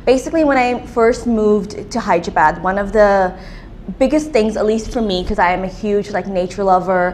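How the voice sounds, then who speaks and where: A woman's voice: medium pitch, fast, a little mellow, slight background noise. A young woman from Australia, answering interview questions casually with frequent ums and restarts. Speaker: business owner, interviewee.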